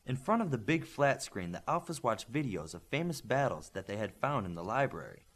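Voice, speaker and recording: A man narrates a novel in a mid-pitched voice. His delivery is expressive and animated, with pitch that moves freely as he reads scripted fiction. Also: a little fast, breathy edge. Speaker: audiobook narrator.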